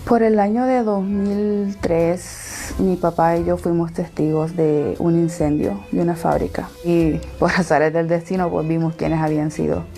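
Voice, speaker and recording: Mellow, low-pitched Salvadoran woman. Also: fairly even intonation, a little slow, soft background music. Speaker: interviewee telling her own story. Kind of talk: personal testimony in an interview.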